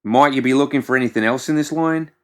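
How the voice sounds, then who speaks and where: A man's voice: medium pitch, quick pace, fairly bright tone, voice pushed up, natural voice. A man voicing a fat, scarred, folksy trapper in an audiobook, speaking informally. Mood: matter-of-fact haggling. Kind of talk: audiobook character voice.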